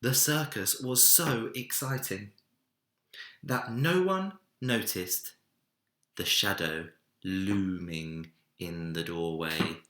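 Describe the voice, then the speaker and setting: A man reading a children's story aloud in an expressive narrating style, recorded in an echoey room. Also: low-pitched, slow pace. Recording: roomy, echoey indoor sound.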